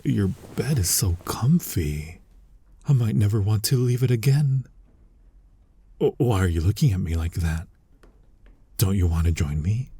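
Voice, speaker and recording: A man playing a flirty, tender incubus in an intimate ASMR roleplay, speaking softly and teasingly with a breathy, husky voice. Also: lowish voice, no room sound, full character voice. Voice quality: soft, breathy, husky, often near a whisper. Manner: teasing, coaxing, slow and intimate. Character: flirty, tender male incubus lust demon comforting a lover.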